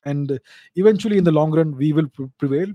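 A man's voice: on the high side, brisk, no room sound, dull audio. A man with an Indian accent hosting a question-and-answer show, giving unscripted explanations and repeating key phrases for emphasis.

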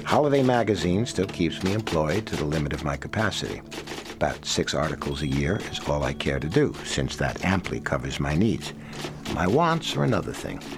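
Echoing, low-voiced older American writer. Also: male voice, sing-song, normal speed, heavily acted. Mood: wry, content. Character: sixty-year-old blacklisted writer father, wry, proud, weary. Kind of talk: radio drama, reading out letters and diary. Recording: echoey reverberant hall, music behind.

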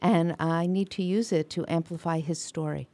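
An older woman guest on a radio talk show recounts a story in an earnest, loud voice. Her tone is mellow and slightly low. The sound is close and dry. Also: steady tone, moderate pace, no echo. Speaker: guest author. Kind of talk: radio interview answers.

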